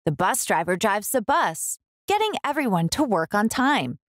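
A woman reading a picture book aloud for young children, expressively, with deliberate, clear enunciation. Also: medium pitch, big pitch swings, no echo, clean studio sound. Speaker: children's book reader, host.

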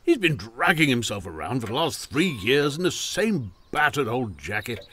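Wry, very animated older gentleman. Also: mid-range voice, normal speed, husky, heavily acted. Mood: gentle, candid. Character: restless ailing older country gentleman, wry and kindly. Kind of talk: audio drama acting.

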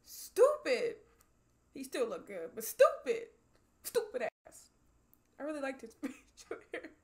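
A woman's voice: high-pitched, huge pitch swings, measured pace, slight background noise. A woman in her twenties giving casual, emotional, slangy film reaction commentary, full of interjections, recorded in a small room. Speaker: movie reaction host. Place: small room at home, indoors.

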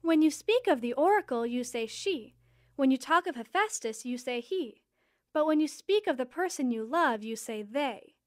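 A woman playing a kindly, quirky goddess queen of spring in an audio drama, nurturing and gently formal. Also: high voice, animated, moderate pace, no room sound, clean studio sound. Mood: gently probing.

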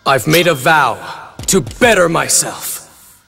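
A man's voice: fairly high pitch, lively intonation, takes it slowly, creaky, pushed and bright, full character voice. A young man voicing an earnest, hot-headed swordsman in a fighting game, intense and declamatory. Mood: determined. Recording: music behind the voice.

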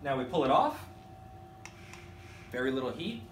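A man in his thirties casually demonstrating a welding tool in a workshop, chatty and relaxed. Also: mid-range voice, moderate pace, background noise. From Canada. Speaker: product demonstrator for a welding company. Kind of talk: casual product demo. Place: workshop with welding equipment, indoors. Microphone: camera mic from a few feet away. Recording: roomy workshop echo from a distance.

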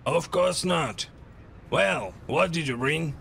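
Gravelly veteran racer. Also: male voice, a little high, animated, slow, full character voice. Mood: gruff. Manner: gruff, blunt, mentoring. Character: gruff middle-aged male racer, tough mentor. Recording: game cutscene with loud music.

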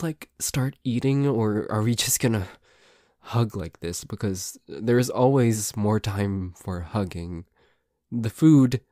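A man's voice: on the low side, warm tone, no room sound, studio quality, natural voice. A man playing a flustered, grumbling but secretly affectionate boyfriend in an ASMR roleplay, stammering and grudging as he talks. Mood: shyly affectionate. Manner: stammering, hesitant, grudging, sweary.

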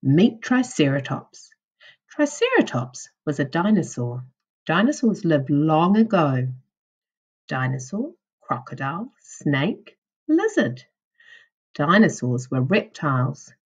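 A woman with a low, husky voice reads aloud as a primary school teacher would to young children. Her pace is unhurried and her intonation rises and falls in a very animated way, with careful, instructional phrasing. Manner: deliberate, instructional, questioning delivery for young children. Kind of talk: reading a children's non-fiction book aloud.

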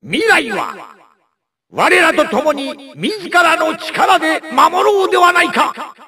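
Casting: elderly robot scientist villain, very high, piercing voice, slow grandiose speeches for an anime game. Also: male voice, expressive, bright, forceful tone, full character voice. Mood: grandiose, rallying. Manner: pompous, declamatory.

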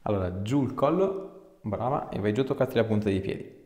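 A man's voice: lowish voice, expressive, fairly quick, a little mellow. A man, an osteopath giving a patient casual, reassuring short instructions in a small clinic room. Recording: echoey small room, background noise, off-mic.

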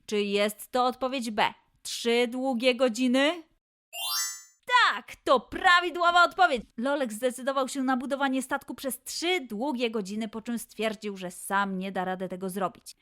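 A woman presenting a children's quiz as host, posing questions and answers in a clear way for young listeners. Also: fairly high pitch, measured pace, sharp tone.

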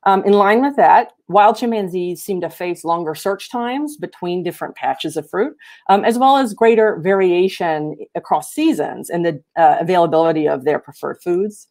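A woman's voice: on the low side, normal speed, darkish tone. A woman giving an explanatory research seminar talk over a video call, sometimes repeating words as she goes. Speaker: academic researcher presenting.

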